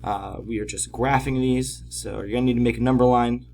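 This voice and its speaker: A man teaching in an informal tutorial style, patiently explaining step by step, his voice slightly hoarse from a cold. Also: medium pitch, steady tone, fairly quick, darkish tone. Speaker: math teacher. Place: at home, at a desk.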